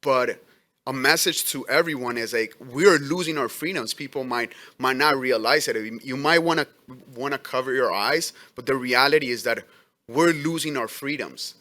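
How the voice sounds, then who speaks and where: A man who says he is an immigrant with an accent, a letter carrier giving earnest, halting testimony at a public hearing. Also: medium pitch, pushed and bright. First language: not English. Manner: earnest, halting, many restarts.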